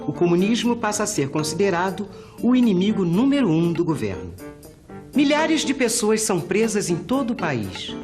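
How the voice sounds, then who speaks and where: A Brazilian woman giving formal, scripted historical narration for a documentary. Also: mid-range voice. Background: prominent background music.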